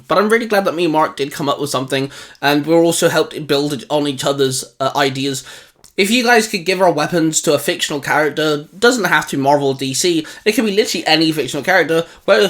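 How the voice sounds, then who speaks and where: A man's voice: on the high side, fairly bright tone. A young man co-hosting a casual geeky chat, rambling with lots of fillers and restarts. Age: young adult. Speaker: channel co-host.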